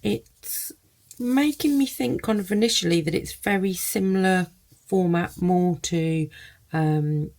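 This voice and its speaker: A woman's voice: lowish voice, takes it slowly, darkish tone. A woman giving a casual first-look product review, thinking aloud in loose, hesitant phrases.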